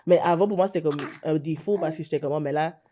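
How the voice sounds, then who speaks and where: A woman's voice: deep voice, fast talker, mellow. A woman with a Haitian Creole accent sharing personal experience in a casual, conversational way as a podcast panelist.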